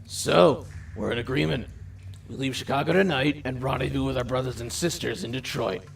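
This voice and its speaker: Audio drama conspirator played by a man, commanding, deliberate, gravelly, distant, slight background noise. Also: mid-range voice, close to an everyday voice. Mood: conspiratorial. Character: plotting underworld gang leader, curt and businesslike. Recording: distant voice, faint ambient noise.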